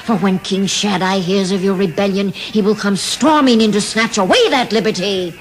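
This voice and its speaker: A performer doing a smooth, scheming devil king who coos and flatters his subjects and then drops to a low, slow purr. His voice swings widely, with fussy theatrical asides in the manner of radio drama. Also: slight background noise, natural voice. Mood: flattering. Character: scheming male devil tyrant, smooth and mocking.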